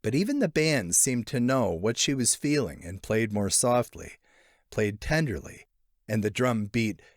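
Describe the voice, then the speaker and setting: Male audiobook narrator, animated pitch, dramatic phrasing, close dry sound for literary fiction. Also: measured pace, dry recording. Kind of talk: expressive scripted narration of a literary short story.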